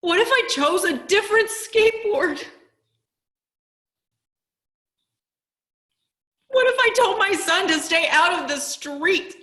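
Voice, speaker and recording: Woman acting grieving mother, slow, echoey room. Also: extremely high pitch, bright, forceful tone, exaggerated voice. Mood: anguished regret. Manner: halting, heavy pauses, emotional. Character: grieving, guilt-ridden mother, leader of a support group. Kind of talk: dramatic theatrical monologue. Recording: echoey room over video call.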